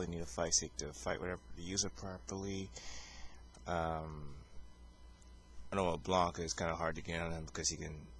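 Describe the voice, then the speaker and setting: A man's voice: deep voice, fairly bright tone, slight background noise. A man giving casual fighting-game commentary, rambling and hesitant, with many fillers and restarts. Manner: calls his own voice boring. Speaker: gameplay commentator.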